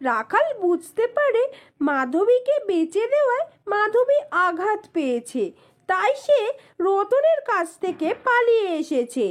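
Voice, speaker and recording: Very high-pitched woman narrating children's stories. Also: sing-song. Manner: deliberate, phrase-by-phrase storytelling. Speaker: storyteller narrator. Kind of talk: scripted narration of children's moral tales. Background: music.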